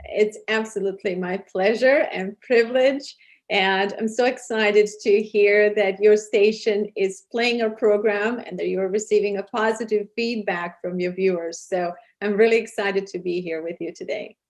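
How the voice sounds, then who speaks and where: Female interview guest, Serbian accent, medium pitch, sincere, searches for phrasing as she explains. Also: fairly even intonation, normal speed. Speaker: interview guest, program producer and charity founder. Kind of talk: interview answers.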